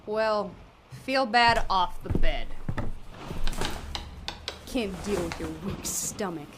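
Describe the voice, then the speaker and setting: A woman's voice: medium pitch, slow, close to an everyday voice. An actress playing a tough, sardonic female crash survivor in an audio drama, blunt and impatient. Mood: brash. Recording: noisy ambience behind.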